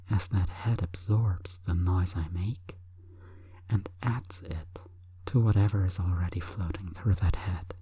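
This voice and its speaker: A woman hypnotist delivering an intimate sleep hypnosis monologue in a breathy, coaxing, deliberate whisper. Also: very deep voice, warm tone, no room sound.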